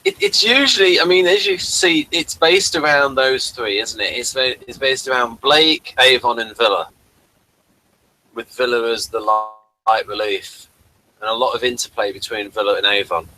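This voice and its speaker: Bright-voiced man chatting through a casual review. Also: mid-range voice, slight background noise, poor audio. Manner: halting, rambling delivery. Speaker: host of a TV review talk.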